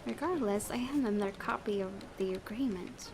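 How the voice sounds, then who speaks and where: A woman's voice: medium pitch, normal speed, noisy background. A woman hosting a gaming video, reading visual novel narration aloud and occasionally stumbling and correcting herself.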